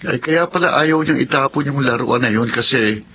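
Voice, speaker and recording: A man's voice: mid-range voice, moderate pace, sharp tone, a little hiss or hum. A man playing a practical, questioning young adult son in a radio drama, with a Filipino accent. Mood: earnest. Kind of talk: Tagalog radio drama acting.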